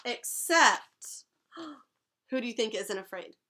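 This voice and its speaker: Young female teacher, patient read-aloud style, bright and a touch high. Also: animated, takes it slowly. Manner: patient, explains words and asks questions. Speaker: teacher reading to class. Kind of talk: read-aloud storytime lesson.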